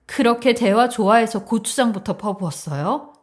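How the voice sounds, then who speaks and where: A woman voicing a young bride, sharp and confrontational, outraged and protective of her mother in a dramatized story. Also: a little high, animated, moderate pace, lightly acted. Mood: defiant.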